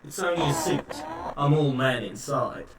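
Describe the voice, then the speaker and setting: A man's voice: fairly high pitch, lively intonation, measured pace. A man playing a sarcastic cyborg manservant in an audio drama, mocking and mock-deferential. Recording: roomy, distant, faint noise.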